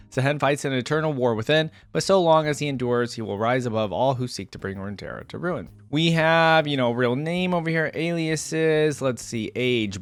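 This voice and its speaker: A man with a medium-pitched voice works as an online creator doing reaction commentary. He reads written material aloud, then drops into casual, conversational asides as he thinks out loud. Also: smooth, dry recording. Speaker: video creator reacting to game lore.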